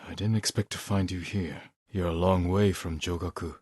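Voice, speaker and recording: Deep-voiced solemn samurai warrior. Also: male voice, fairly even intonation, darkish tone, slightly rough, no room sound, studio quality. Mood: steady, serious. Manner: measured, earnest, formal delivery. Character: solemn, resolute male samurai warrior sworn to justice. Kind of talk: dramatic video game voice acting.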